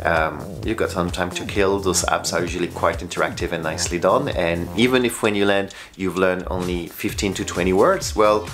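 A man in his forties with a low voice talks casually to camera in non-native English. He is chatty and leans on key phrases, like a travel vlogger sharing tips. Music plays clearly behind him. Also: moderate pace, hardly any pauses. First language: not English. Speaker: travel vlogger and flight attendant. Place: bedroom, indoors. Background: background music.

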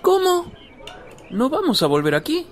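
A man's voice: extremely high pitch, huge pitch swings, a little slow, a little hiss or hum, exaggerated voice. A man playing an eager, self-assured young male disciple in a radio drama, talking in a vivacious, insistent way. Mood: surprised, questioning.

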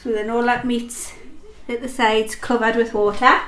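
A woman's voice: a little high, flat delivery, normal speed, a bit bright, a little hiss or hum. A young woman with an English accent, chatting through a home cooking and eating vlog, a little sniffly from a cold. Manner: chatty, talks while eating. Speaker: mukbang vlogger. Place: home kitchen.

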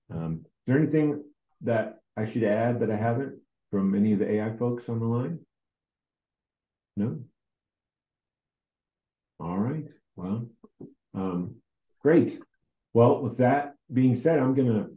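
A man's voice: fairly low pitch, mellow. A middle-aged man casually hosting a webinar over a video call, speaking informally. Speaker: webinar host. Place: indoor room on a video call. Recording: roomy, echoey video-call audio.

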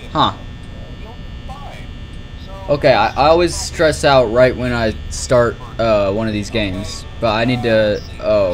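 Young man, jittery gaming streamer, rambling casual commentary, exclamatory, mid-pitched voice, music behind. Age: young adult. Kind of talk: casual live horror game commentary.

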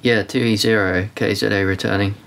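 A man's voice: on the low side, fairly even intonation, brisk, gravelly, fairly bright tone. A man giving a casual hands-on radio demo, narrating as an amateur radio reviewer.

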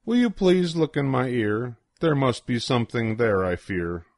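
An older man reading a children's picture book aloud in an expressive, sing-song way with deliberate pauses. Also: medium pitch, dry recording, studio quality. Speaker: storybook reader.

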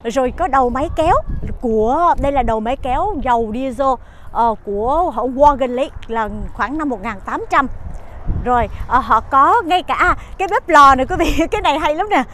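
A woman's voice: sits high, animated, moderate pace, fairly bright tone, slight background noise. Chatty woman host on a walking tour. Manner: chatty, many "ờ" fillers, addresses viewers as "quý vị". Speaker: travel show host. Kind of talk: walking tour narration to camera. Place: outdoors at a farm market and inside its shop.

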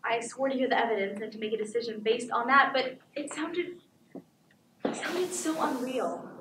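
A woman's voice: on the high side, a bit bright, lightly acted. A teenage woman playing a troubled, incredulous twice-divorced juror in an emphatic, questioning stage monologue. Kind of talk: high school stage monologue. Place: indoor theatre stage. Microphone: far camera. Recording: echoey noisy theatre, distant microphone.

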